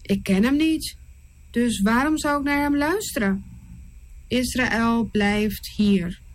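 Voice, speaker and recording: A woman's voice: a little high, slow. A woman voicing a proud, stubborn Egyptian king who mocks and dismisses in a raised voice. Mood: dismissive. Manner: mocking, dismissive.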